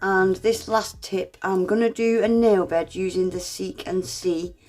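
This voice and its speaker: A woman's voice: mid-range voice, steady tone, a little slow, darkish tone, faint music behind. Bunged-up woman narrating nail art. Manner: chatty, self-deprecating, addresses viewers as guys. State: congested, unwell. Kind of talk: casual step-by-step tutorial narration.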